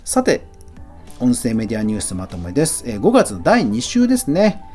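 A man's voice: medium pitch, huge pitch swings, a little fast, a little mellow. A man hosting a solo news roundup podcast, talking in a chatty, conversational way and reacting aloud to each item. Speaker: podcast host and YouTuber. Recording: music playing behind the voice. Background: guitar music.

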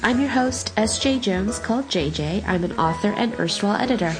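A woman hosting a podcast, husky and stuffed up from a summer cold, talking casually with a rambling, self-deprecating manner. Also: mid-range voice, lively intonation, fairly quick, music in the background, poor audio. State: congested from a cold. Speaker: podcast host.

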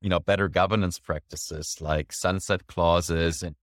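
A man's voice: low-pitched, steady tone, measured pace, dry recording, clean studio sound. A man hosting a podcast interview, enthusiastic and often tagging sentences with a question. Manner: enthusiastic, ends sentences with "right?". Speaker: podcast host.

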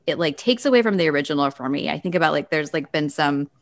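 A woman's voice: low voice, animated, fast talker. A woman hosting a podcast, talking in a casual, conversational style. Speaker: podcast host. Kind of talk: casual chat.

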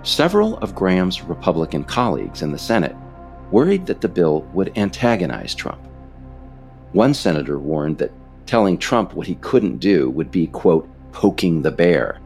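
A man reading scripted political narration as a podcast author, deliberate, with pointed pauses on key phrases. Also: deep voice, sing-song, a little mellow, soft background music.

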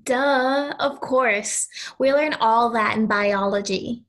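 A woman's voice: a little high, slow, fairly bright tone. A woman playing a lonely, stubborn ten-year-old girl in an audio play, speaking in an earnest, insistent, childlike way. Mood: curious, insistent.

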